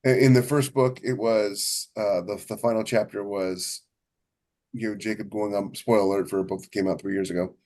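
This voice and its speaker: A man's voice: on the low side, steady tone, normal speed, darkish tone. A man co-hosting a writing podcast as a novelist, chatting casually and emphatically, often rephrasing himself as he goes. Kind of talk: casual chat about writing craft.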